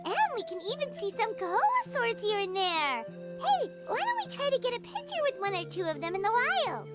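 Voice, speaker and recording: A woman voicing a small, excitable, childlike fairy sidekick in a video game, with a squeaky voice. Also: a little fast, over loud music, full character voice. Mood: delighted. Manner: excitable, refers to self in third person. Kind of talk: animated video game dialogue.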